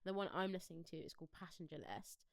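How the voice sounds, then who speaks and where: A woman's voice: on the low side, expressive, quick pace, creaky, no room sound, clean studio sound. A young British woman co-hosting a podcast, chatting informally about pop culture with plenty of "like". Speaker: podcast co-host.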